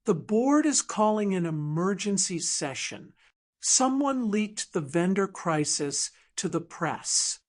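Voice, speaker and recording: A woman acts out an executive's female aide in an audiobook drama. She sounds professional and tightly wound, with a businesslike manner at a medium pitch. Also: big pitch swings, slow, darkish tone. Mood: tense, urgent.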